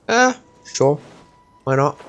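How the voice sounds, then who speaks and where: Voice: mid-range voice, big pitch swings, slow pace, fairly bright tone. A video game streamer giving casual gameplay commentary, thinking aloud and muttering with the odd swear word.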